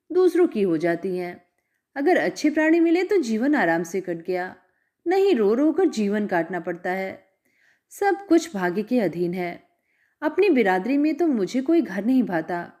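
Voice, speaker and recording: A worried storybook mother speaks with soft, resigned concern, voiced by a woman narrator. Her tone is mellow and warm, her pitch moving with lively feeling. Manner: soft, rueful advice. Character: worried middle-aged mother, gentle and resigned.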